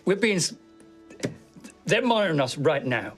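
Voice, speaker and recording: A man's voice: fairly high pitch, sing-song, normal speed, soft background music. A man playing a stern, exasperated spaceship captain who goes by the book.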